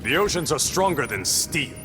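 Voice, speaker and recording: A man's voice: on the high side, very animated, moderate pace. A man playing a proud, imperious warrior king in a video game, speaking in a formal, commanding way. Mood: commanding, threatening. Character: proud, stern warrior king of an undersea kingdom. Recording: loud music behind.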